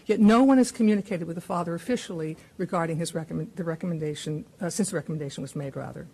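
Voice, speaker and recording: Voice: mid-range voice, very fast, creaky, mellow, breathy edge, rough recording. A witness at a public hearing, earnestly reading a prepared account with small restarts. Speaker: advocacy group witness. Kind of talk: formal prepared testimony. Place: hearing room.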